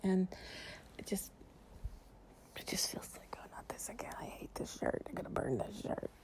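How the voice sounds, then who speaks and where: Soft, breathy, creaky low-voiced woman. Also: big pitch swings, moderate pace, low-quality recording. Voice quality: soft, breathy, often dropping to a whisper. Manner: playful, sleepy, confiding. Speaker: audio roleplay performer playing a loving companion. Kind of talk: improvised intimate relaxation roleplay, casual chat to the listener.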